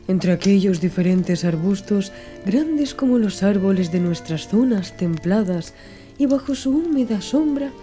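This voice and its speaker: A woman's voice: medium pitch, dark tone. A woman reading literary prose as an audiobook narrator in a scripted storytelling style. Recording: music under the voice. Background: background music.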